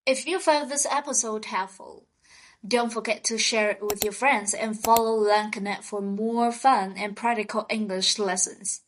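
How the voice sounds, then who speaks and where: A woman co-hosting a scripted vocabulary lesson, speaking in a slow, clear teaching manner. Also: medium pitch, fairly even intonation, low-quality recording. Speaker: podcast co-host.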